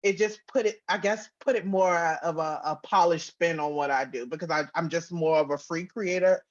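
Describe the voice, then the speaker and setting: A woman's voice: fairly low pitch, normal speed, dull audio. A woman giving casual, informal interview answers as a writer and producer, often acting out bits of dialogue as she talks. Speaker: interview guest, writer and audio drama producer.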